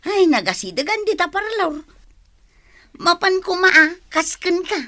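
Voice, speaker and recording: Casting: eager-to-please Filipina gossip, very high, sharp voice, radio comedy. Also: female voice, big pitch swings, measured pace, dry recording, studio quality, heavily acted. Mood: gossipy. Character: placating, gossipy female friend, eager to please.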